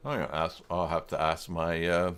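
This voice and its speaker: A man's voice: deep voice, little pitch movement, brisk, fairly bright tone, clean tone. A man hosting a casual livestream, thinking aloud in a rambling, muttering way. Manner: rambling, muttering through thoughts. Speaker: livestream host.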